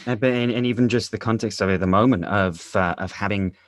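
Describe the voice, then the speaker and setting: A man speaking as an interview guest in a halting way, repeating words as he goes. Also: fairly low pitch, no echo. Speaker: interview guest, writer and director. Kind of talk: conversational interview.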